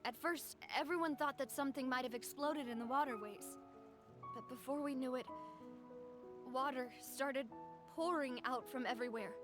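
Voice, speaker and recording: A woman's voice: high-pitched, moderate pace, over music, close to an everyday voice. An actress playing an earnest, compassionate young woman leader shaken by disaster, speaking solemnly and with composure in a video game. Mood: grave.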